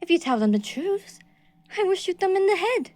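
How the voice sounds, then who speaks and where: A woman voicing a delusional, possessive young woman stalker in an audio roleplay, moving between soft breathy whispers and shrill outbursts. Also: very animated, moderate pace, dry recording. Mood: coldly threatening.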